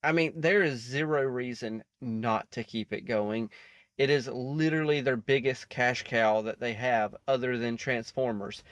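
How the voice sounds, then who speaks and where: A man's voice: mid-range voice, a little slow, a bit bright, no echo. A man giving casual, rambling reaction commentary as host, often chuckling between asides.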